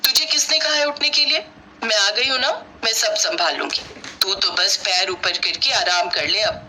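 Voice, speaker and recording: A woman's voice: mid-range voice, moderate pace, noisy background, natural voice. A woman voicing a kind, simple village sister-in-law who is caring and eager to please in a family story.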